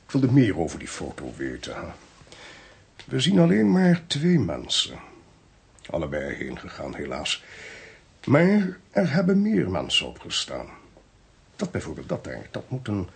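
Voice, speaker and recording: A man playing a shrewd, theatrical Dutch police chief in a radio drama, explaining things deliberately and wryly. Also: lowish voice, wildly swooping pitch, creaky, breathy edge, exaggerated voice. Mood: brisk, methodical. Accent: Dutch (Netherlands).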